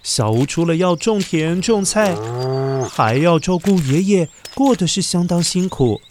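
A man's voice: sits high, lively intonation, measured pace, a little mellow, a little hiss or hum. A man with a Taiwanese Mandarin accent narrating a children's story expressively and dramatically, putting questions to his young listeners.

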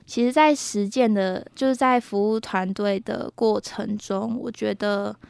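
A woman's voice: mid-range voice, unhurried, gravelly, a little mellow, clear, resonant tone, dry recording. A woman in her early twenties with a Taiwanese Mandarin accent, a student answering casually in an interview. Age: early 20s.

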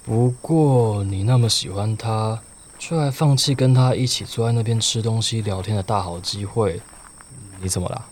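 Low-voiced, dreamy Taiwanese schoolboy played by a man. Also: normal speed, darkish tone, slight background noise, close to an everyday voice. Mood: teasing, incredulous. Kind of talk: Mandarin radio play acting and first-person narration.

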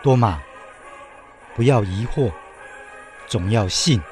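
An actor playing the risen Jesus as a calm, commanding divine teacher in a solemn biblical radio drama. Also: male voice, lowish voice, slow pace, soft background music.

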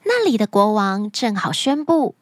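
A woman hosting a children's story show, speaking to young listeners in an animated, expressive storytelling manner. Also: medium pitch, normal speed, no echo, studio quality. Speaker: children's story podcast host.

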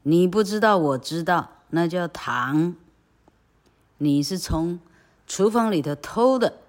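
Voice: medium pitch, animated, slow. A teacher voicing a loving but exasperated old aunt from a storybook read aloud, raising the voice to scold. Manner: raises voice to shout and scold.

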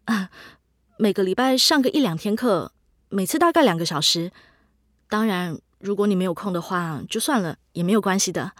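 Middle-aged mother, played by an actress: gentle, considerate, medium pitch, dry studio radio drama. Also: lively intonation, normal speed, dry recording, natural voice. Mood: polite, hopeful. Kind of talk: scripted radio drama dialogue.

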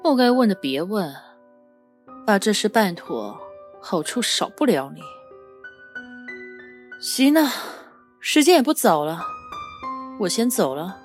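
A woman's voice: medium pitch, sing-song, slow pace, pauses often, faint music behind. A woman playing a secretive, commanding woman in a suspense radio drama, with a curt, businesslike delivery. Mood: curt, dismissive. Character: secretive, commanding woman handing out shady commissions.

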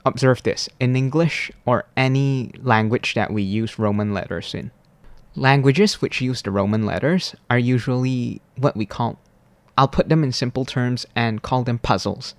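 A man, explaining things in formal, deliberate, carefully worded answers. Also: mid-range voice, no echo. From Malaysia. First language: Cantonese. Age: 20s. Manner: formal, deliberate, precise wording. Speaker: university student guest.

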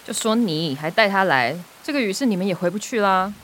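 Radio drama elder sister, played by a woman, brisk and matter-of-fact, Taiwanese accent, rapid delivery, faint hiss behind. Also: mid-range voice, animated, fairly bright tone, natural voice.

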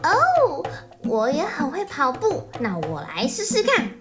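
A woman's voice: sits high, huge pitch swings, measured pace, over music, heavily acted. A woman voicing an angry, childlike little boy monkey, polite and whiny, in a children's story. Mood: excited, frustrated.

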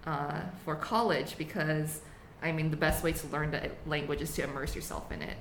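A young woman born in the United States, chatting casually as a student podcast co-host, relaxed and laughing often. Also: deep voice, normal speed. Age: young adult. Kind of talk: casual chat. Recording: echoey room, faint hiss.

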